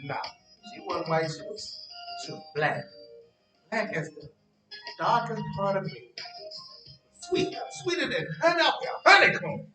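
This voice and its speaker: An actor in a stage play as a teasing, boastful ladies' man who spins his tale with drawn-out drama and stammering hesitations. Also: high voice, big pitch swings. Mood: gleeful storytelling. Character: teasing, evasive storyteller named Henry, boastful ladies' man. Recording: roomy, distant stage recording with music.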